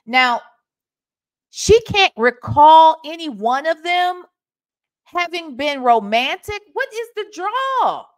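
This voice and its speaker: A woman's voice: high-pitched, slow. A woman in her forties with a Southern US accent, hosting a reaction video from a small room at home and speaking into a desk microphone with emphatic, sassy commentary. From Ohio, United States. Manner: emphatic, sassy, animated talk. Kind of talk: casual commentary on a played call. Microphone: webcam with desk microphone.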